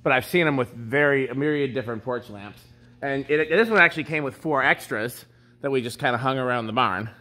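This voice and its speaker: A man's voice: mid-range voice, brisk, bright, forceful tone, soft background music. A man giving a casual, unscripted tour, explaining technical details as he goes. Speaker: tour guide showing his fan collection. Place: inside a wooden barn. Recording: handheld recording with background noise.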